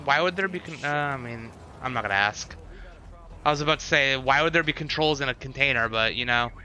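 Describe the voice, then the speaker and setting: A man's voice: mid-range voice, brisk, bright, forceful tone, faint music behind. A man chatting casually to viewers as a livestreamer, giving commentary with sarcastic, unimpressed asides.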